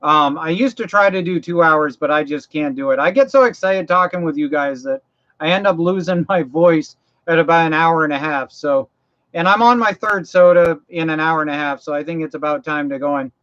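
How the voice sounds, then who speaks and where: Higher-voiced guy rambling thru mower repair questions on his live stream, voice wearing out, kinda muffled audio. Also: normal speed, a bit bright. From Maine, US. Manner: rambling, folksy, repeats himself and restarts phrases; practical, walks viewers through mower repairs. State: voice getting tired. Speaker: livestream host answering viewer questions. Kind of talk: casual live chat.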